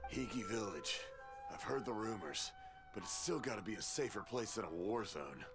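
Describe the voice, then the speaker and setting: A man's voice: lowish voice, animated, moderate pace, music in the background. An actor playing a friendly but cautious male traveler fleeing war in a video game. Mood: wary, friendly.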